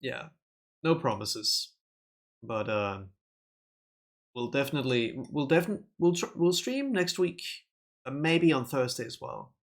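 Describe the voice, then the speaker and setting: A man's voice: medium pitch, lively intonation, a little slow, pauses often. A man co-hosting a live stream in casual chat, playful and laughing a lot while he urges viewers to visit the channel. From not Australia. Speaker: stream co-host.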